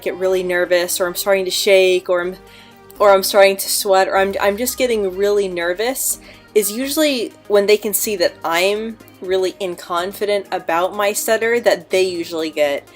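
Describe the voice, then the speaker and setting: Young woman vlogger who stutters. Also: mid-range voice. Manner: frequent stuttering with repeated sounds and blocks; earnest, candid. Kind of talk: casual talk to camera.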